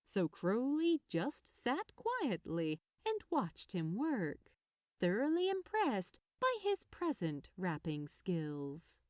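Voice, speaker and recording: A woman's voice: medium pitch, wildly swooping pitch, slow, a little mellow, dry recording, clean studio sound. A woman reading a story aloud as narrator, in scripted storytelling style. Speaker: narrator, fan fiction reader.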